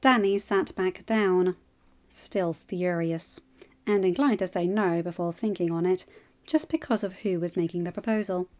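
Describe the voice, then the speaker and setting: A woman's voice: lowish voice, measured pace, a little mellow. Woman narrating, slightly muffled sound. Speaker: audiobook reader. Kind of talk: scripted fan fiction narration.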